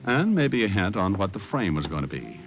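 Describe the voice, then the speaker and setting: Low-voiced wisecracking radio private eye. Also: male voice, big pitch swings, brisk, creaky, exaggerated voice. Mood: wry narrating. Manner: wry, flippant, slangy. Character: wisecracking tough male private detective, cocky and unflappable. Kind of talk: old-time radio detective acting with first-person narration. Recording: lo-fi old radio recording with music.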